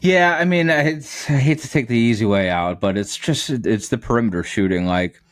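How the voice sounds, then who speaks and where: A man's voice: medium pitch, normal speed, no room sound. A man giving conversational sports analysis as a podcast guest. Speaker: radio play-by-play announcer, podcast guest.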